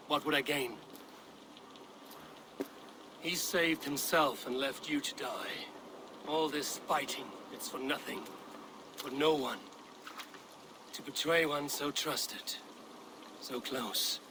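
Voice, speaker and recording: An actor playing a resolute, honorable Viking warrior leader in a video game, commanding, measured and blunt. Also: male voice, fairly high pitch, fairly even intonation. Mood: earnest, grave. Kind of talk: dramatic video game acting. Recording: clear ambient background noise.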